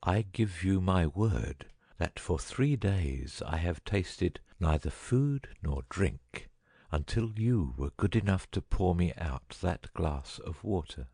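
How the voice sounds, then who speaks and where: Cunning detective guy played by a male actor, husky low voice, dry sound, audiobook drama. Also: expressive, takes it slowly, dark tone, no room sound, exaggerated voice. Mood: composed, wry. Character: cunning male detective, first feigning a dying illness, later brisk.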